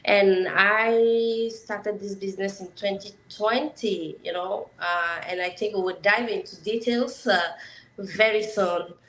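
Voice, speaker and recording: A woman's voice: mid-range voice, fairly even intonation, slow pace, a bit bright. A woman from Benin, speaking as co-host on a live online talk. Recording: roomy echo, faint background noise.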